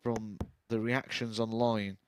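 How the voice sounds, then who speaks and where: A man's voice: on the low side, level tone, slow pace. A man co-commentating on live darts, giving enthusiastic, opinionated analysis and predictions. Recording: noisy live commentary feed.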